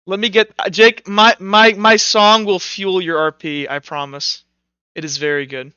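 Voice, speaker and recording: Male game master, high clear voice, informal and halting narration, slightly dull dry recording. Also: fairly even intonation, bright, forceful tone, no echo. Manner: halting, informal delivery with many "like"s. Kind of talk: improvised tabletop roleplay narration; casual chat with players.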